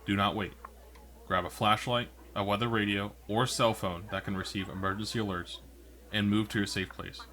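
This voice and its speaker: Man acting as TV weatherman, forceful bright tone, lowish pitch, earnest, emphatic, scripted storm bulletin. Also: steady tone, normal speed. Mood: urgent, pleading. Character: urgent, earnest male TV weather broadcaster. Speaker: weather broadcaster.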